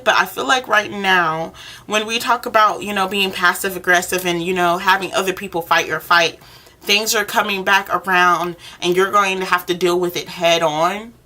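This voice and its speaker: A woman's voice: medium pitch, pushed and bright. A woman speaking informally and encouragingly, one-to-one, as she gives a role-play tarot reading. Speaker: tarot reader, channel host.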